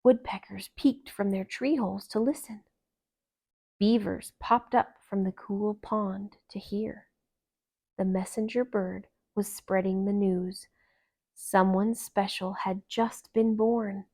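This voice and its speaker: A woman narrates a children's storybook at a slow, deliberate pace. Her delivery is calm and gentle, with expressive phrasing, some vocal fry and hushed phrase endings. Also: mid-range voice. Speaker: storybook reader.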